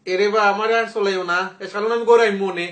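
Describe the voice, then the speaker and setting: Young sylheti guy prank calling, really high sharp voice, cheeky and provoking. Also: steady tone, quick pace. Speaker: prank caller, speaking as a daughter's suitor. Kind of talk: teasing phone argument.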